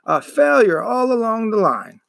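A gruff, mocking grown man from a storybook, as a male reader acts him out. His voice is gravelly and pitched up, with blunt, needling questions. The recording is dry and close. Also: very animated, measured pace, a bit bright, no echo, exaggerated voice. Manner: interrogating, mocking.

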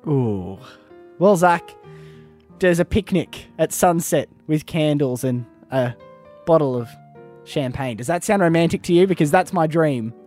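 A man co-hosting a radio show in a studio, giggly and playful, quick to laugh. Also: fairly high pitch, expressive, a little mellow, soft background music. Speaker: radio co-host. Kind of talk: casual radio banter.

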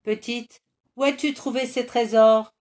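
A woman voicing gruff, greedy male troll monsters in a storybook reading, shouting threats. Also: a little high, steady tone, measured pace, pitched above the performer's own voice, close to an everyday voice. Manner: loud snarling threats.

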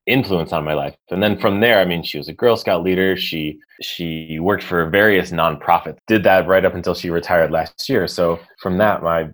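A man's voice: deep voice, normal speed, hardly any pauses, fairly bright tone. An American man in his thirties, a leadership coach telling his life story in a casual interview. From United States. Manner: chatty, storytelling, self-deprecating with laughs.

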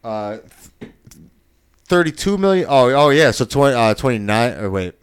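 A man's voice: medium pitch, sing-song, normal speed, smooth, dry recording, clean studio sound. Brief: thirties man, clean voice, easygoing sweary banter. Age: 30s. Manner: chatty, swearing. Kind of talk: casual chat.

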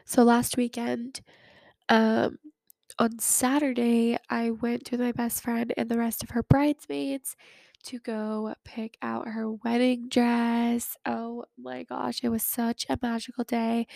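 A woman's voice: fairly high pitch, little pitch movement, measured pace, creaky, darkish tone, no room sound, studio quality. A young woman in her twenties hosting a casual chat podcast, sounding nasal as she gets over a cold, chatty and full of fillers. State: sick, recovering from a cold. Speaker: podcast host.

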